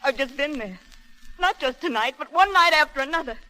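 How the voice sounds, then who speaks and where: Shrill, distraught pilot's wife. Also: female voice, expressive, brisk, pushed and bright, poor audio. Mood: anguished, pleading. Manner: theatrical, agitated, pleading. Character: distraught, bitter pilot's wife, overwrought and accusing. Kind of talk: 1930s radio melodrama acting.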